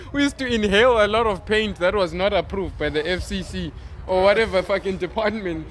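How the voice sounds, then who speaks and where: A man's voice: high voice, normal speed, pauses often, a bit bright, a little hiss or hum. A young man from Africa co-hosting a podcast, joking crudely and laughing often in a casual chat. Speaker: podcast co-host.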